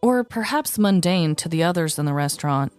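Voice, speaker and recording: Female podcast storyteller: slightly low voice, scripted and mock-dramatic narration over soft music. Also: animated, brisk, clear, resonant tone.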